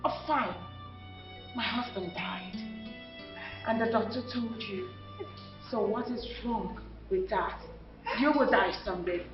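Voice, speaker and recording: A woman's voice: high-pitched, big pitch swings, a little fast, soft background music. A middle-aged woman with a Nigerian accent playing a brazen, hard-hearted widowed mother who snaps back with defiant questions in a film drama. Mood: defiant, cold. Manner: defiant, retorts with repeated questions. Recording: echoey room, muffled film audio.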